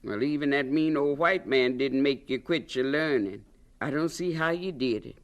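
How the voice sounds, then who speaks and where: An actress playing an older, plain-spoken, skeptical aunt with a folksy, scolding manner in a radio drama. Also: female voice, very deep voice, normal speed. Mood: wry, proud.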